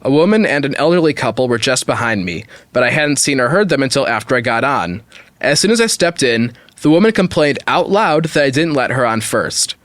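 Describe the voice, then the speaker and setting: Male podcast host, lively swooping delivery, close dry sound, playful and casual. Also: mid-range voice, brisk, no room sound. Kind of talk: casual comedy podcast banter, ad read and story reading.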